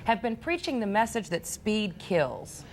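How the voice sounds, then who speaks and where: A woman's voice: mid-range voice, very animated, measured pace, a little hiss or hum. A woman with an American accent, reading scripted news as a television anchor.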